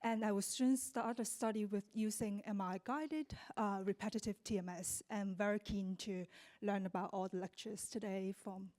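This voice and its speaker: A woman with a mellow voice politely introduces her research and says she is eager to learn. She is speaking briefly at a conference. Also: mid-range voice, steady tone, normal speed.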